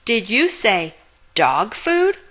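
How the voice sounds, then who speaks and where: Bossy storybook witch in charge, performed by a woman: outraged, high-pitched, sharp and emphatic. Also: very animated, takes it slowly, heavily acted. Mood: shrieking outrage. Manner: shrieking, emphatic.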